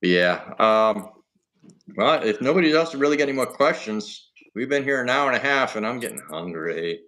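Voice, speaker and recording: A man's voice: medium pitch, moderate pace. A man hosting a casual livestream chat from his homestead, talking easily and reading out viewers' comments. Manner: relaxed, chatty, reads out viewer comments. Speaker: livestream host. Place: home homestead in Alaska.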